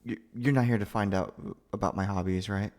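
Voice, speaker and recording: A young man acting as a nervous, gentle, geeky roommate in an ASMR roleplay, stammering and rambling in a flustered, self-deprecating way. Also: on the low side, fairly even intonation, brisk, close to an everyday voice. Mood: flustered, apologetic.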